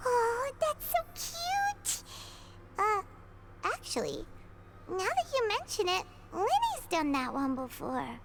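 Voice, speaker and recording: Squeaky little fairy sidekick for a game, excitable, swings up and down, lots of noise behind. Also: female voice, takes it slowly, exaggerated voice. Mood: curious. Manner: speaks of herself in third person, exclamatory. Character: small excitable childlike fairy companion, female. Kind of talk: animated video game voice acting.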